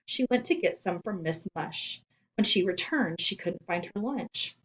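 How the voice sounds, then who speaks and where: A woman reading children's fiction aloud as expressive scripted narration. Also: mid-range voice, unhurried, low-quality recording. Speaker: storybook reader.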